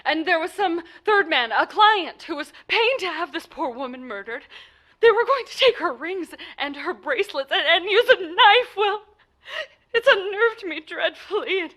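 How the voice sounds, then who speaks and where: Actress doing frantic high bright voice, bossy sickly wife in an old 1940s radio play, stammers and insists. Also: female voice, expressive, moderate pace, heavily acted. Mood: agitated, distressed. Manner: agitated, breathless stammering, insistent.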